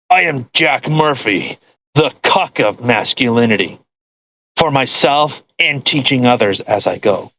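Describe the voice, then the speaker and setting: A man's voice: mid-range voice, fairly bright tone, no room sound, poor audio. A man co-hosting a podcast, doing a mocking dramatic reading with sarcastic, profane heckling and banter. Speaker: podcast co-host.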